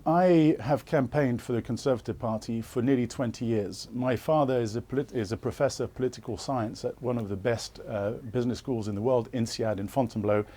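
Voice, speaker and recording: Male interviewee, warm mellow timbre, earnest and insistent, hesitant delivery. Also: mid-range voice. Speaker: interviewee, former political candidate. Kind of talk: live political TV interview.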